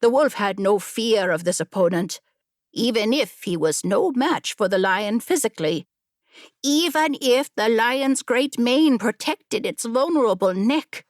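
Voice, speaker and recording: Unhurried woman narrating a story. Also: fairly high pitch, lively intonation, no echo, studio quality. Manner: measured, deliberate storytelling. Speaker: audiobook narrator.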